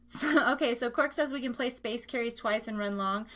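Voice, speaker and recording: A woman's voice: fairly high pitch, normal speed, fairly bright tone. A woman hosting a live online radio show, chatting informally and slangily and speaking straight to her listeners. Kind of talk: casual, interactive live-stream chat and game hosting.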